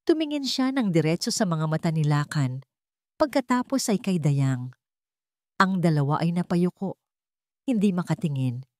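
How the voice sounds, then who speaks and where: A woman's voice: lowish voice, lively intonation, moderate pace, pauses often, a little mellow, dry recording, studio quality. A woman reading out a dramatic story as its narrator, in scripted storytelling style.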